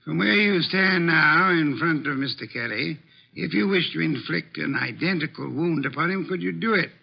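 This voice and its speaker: A man's voice: fairly high pitch, moderate pace, gravelly. A man playing an imperious, pedantic detective in a vintage radio mystery, with formal and precise diction. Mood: probing, assured. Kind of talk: 1940s-50s radio mystery acting. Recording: dull vintage radio recording.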